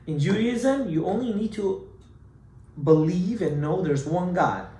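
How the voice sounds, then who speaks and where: A man giving an animated Torah class as a rabbi, emphatic and full of rhetorical questions, mixing Hebrew terms into his English. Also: high voice, a little slow. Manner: emphatic, rhetorical questions, acts out objections loudly. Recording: echoey room with faint background noise.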